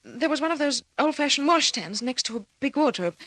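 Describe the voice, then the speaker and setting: High, bright, frantic young woman. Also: lively intonation, fairly quick, no echo. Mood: anxious, insistent. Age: young adult. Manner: breathless, insistent, melodramatic pleading. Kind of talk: old-time radio drama acting. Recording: vintage radio drama recording.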